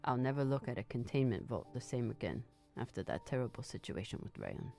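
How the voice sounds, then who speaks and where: An actor playing a seasoned, pragmatic spaceship crew member in a video game, speaking in a dry, terse way. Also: male voice, medium pitch, very animated, brisk, gravelly, a little mellow, soft background music. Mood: low, musing.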